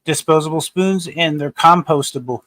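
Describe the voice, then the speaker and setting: Easygoing man with a higher voice. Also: moderate pace, a bit bright, slight background noise. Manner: easygoing, mostly brief agreeing replies. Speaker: co-host of a live-streamed indoor growing show. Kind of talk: casual livestream chat.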